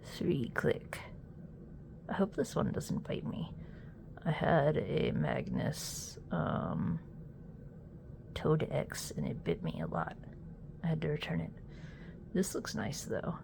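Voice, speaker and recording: A woman with a cold, sniffly, doing an unboxing with a halting, hesitant way of talking and many fillers. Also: low-pitched, very animated, creaky, noisy background. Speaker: unboxing video host. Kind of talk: casual unboxing commentary.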